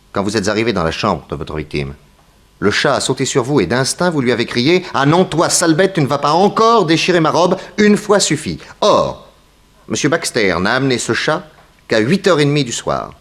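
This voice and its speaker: A shrewd detective from a vintage French radio mystery. His pitch moves boldly up and down as he sets out his case with theatrical authority. He sounds deliberate and sure of his command over the suspects. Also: big pitch swings, a bit bright. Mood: accusing, commanding.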